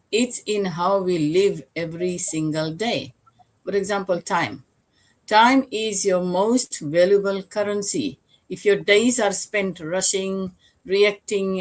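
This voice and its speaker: An older woman from Fiji hosting a live online talk show, speaking earnestly and reflectively, with rhetorical questions. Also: lowish voice. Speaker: host.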